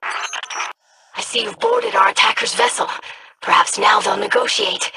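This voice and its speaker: Lilting, curious young alien. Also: female voice, on the high side, wildly swooping pitch, measured pace, vocal fry, heavy background noise, full character voice. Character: curious, earnest young female alien scholar. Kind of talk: video game character acting.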